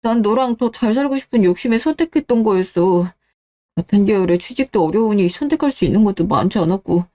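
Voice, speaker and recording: A woman's voice: mid-range voice, expressive, fast talker, warm tone, natural voice. A woman voicing a defensive, desperate disgraced husband, pleading and justifying himself in radio-drama dialogue. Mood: pleading, apologetic.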